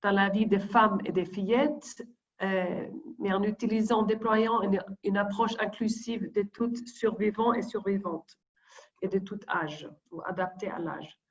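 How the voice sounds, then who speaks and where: A woman reads her prepared opening remarks at a middling pitch, stopping often to restart a phrase. The sound is a muffled, lo-fi video call. She is a deputy coordinator speaking to colleagues. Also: a little slow. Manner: hesitant, many fillers and restarts while reading.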